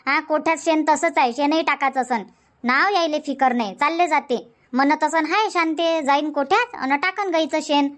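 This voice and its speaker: A woman voicing a chatty, sharp-tongued village housewife in a dubbed cartoon comedy, scolding and complaining. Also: high-pitched, moderate pace.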